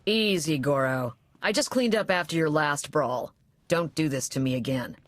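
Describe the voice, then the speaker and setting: A female bar owner in a rough spaceport, weary and wary. Her voice sits low for a woman and is recorded close and dry, with plain-spoken video game delivery. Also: lively intonation, moderate pace, dry recording. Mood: exasperated. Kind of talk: video game dialogue acting.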